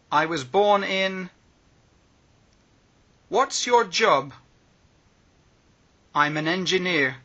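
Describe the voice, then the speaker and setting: Brief: male phrasebook reader, very slow, high and lively, slightly husky. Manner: reads each phrase slowly with pauses. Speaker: language teacher.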